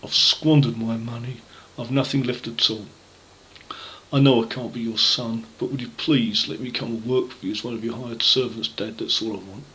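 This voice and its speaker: A man's voice: medium pitch, steady tone, normal speed, bright, forceful tone, close to an everyday voice, rough recording. A man voicing a rebellious, later humbled younger son in a told parable, earnest and plain-spoken. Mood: remorseful, pleading.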